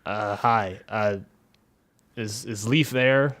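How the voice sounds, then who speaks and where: Man playing a tentative, low-voiced male friend. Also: a bit bright. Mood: awkward. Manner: halting, tentative. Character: Marco, an awkward, gently consoling male friend.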